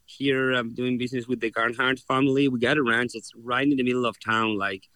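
A man's voice: mid-range voice, steady tone, fairly quick, bright, forceful tone, no echo. Chilean-accented man, earnest interview guest. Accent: Chilean Spanish. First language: Spanish. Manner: earnest, frequent restarts and repeated words. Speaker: horse trainer.

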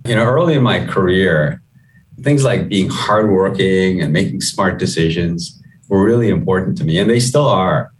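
A middle-aged man with a low voice answers interview questions as an executive. His delivery is measured and conversational, sprinkled with "you know". He sounds somewhat distant from the microphone. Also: expressive. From Guyana, moved to the US at eleven. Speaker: chief executive, interview guest.

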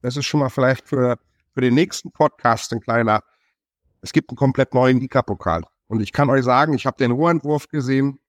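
A man from a national chefs' association explaining in a podcast conversation, listing things in a brisk, enthusiastic way. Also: medium pitch, no echo, studio quality. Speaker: chef association representative.

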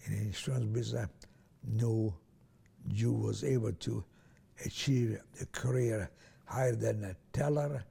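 Older man, Hungarian native, slow and husky, oral history interviewee. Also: lowish voice, mellow. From Transylvania, Romania. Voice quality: gravelly, husky, hesitant with many restarts.

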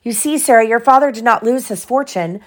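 A woman voicing a kind, sad, wealthy gentleman in a storybook, in a gentle, earnest manner. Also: a little high, brisk, natural voice. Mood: kind, regretful.

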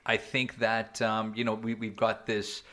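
A man's voice: lowish voice, monotone, measured pace, a bit bright. A man co-hosting a casual podcast chat, rambling with fillers and restarts, joking now and then. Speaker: podcast co-host.

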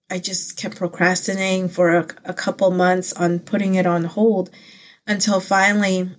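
A woman born in the Philippines whose first languages are Pangasinan and Ilocano, hosting a casual personal faith vlog in an earnest, heartfelt way, rambling with many fillers and restarts. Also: on the low side. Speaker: channel host.

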